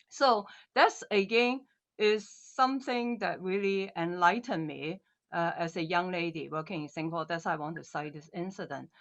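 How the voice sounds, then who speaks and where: A woman's voice: lowish voice, moderate pace, highs cut off. An older woman from Hong Kong with a Cantonese accent, a politician guest telling her story earnestly in an interview; her first language is Cantonese.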